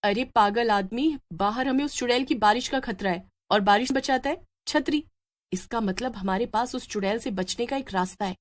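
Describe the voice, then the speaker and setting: A woman's voice: a little high, moderate pace, natural voice. A woman voicing a bold, protective village mother in a story, speaking earnestly and insistently. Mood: urgent persuading.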